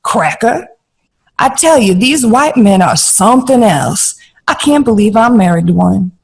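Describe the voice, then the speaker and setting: A woman's voice: a little high, lively intonation, a little slow, creaky, a little mellow, husky, heavily acted. An actress playing a bold, outspoken jazz-era film star in an audio drama, blunt and salty, stretching her phrases for emphasis. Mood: bitter, indignant. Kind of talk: period audio drama dialogue.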